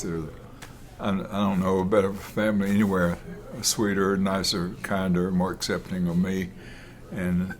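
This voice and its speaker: An older man giving a casual family speech to the camera, earnest, halting and rambling. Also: sits low. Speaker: lawyer, host of the video.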